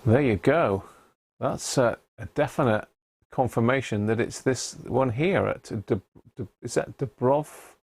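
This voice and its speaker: British man with a creaky voice, chatting live. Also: medium pitch, a little slow, a little mellow, slight background noise. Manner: informal, tag questions, thinking aloud. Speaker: livestream host. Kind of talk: casual live chat, talking to viewers.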